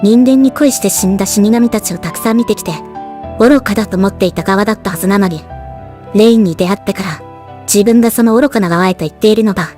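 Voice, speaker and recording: A woman voicing a stoic, battle-hardened female death god in an anime drama, with measured, composed dramatic delivery. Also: mid-range voice, dark tone, faint music behind, lightly acted. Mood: reflective. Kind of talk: anime voice drama, scripted narration and dialogue.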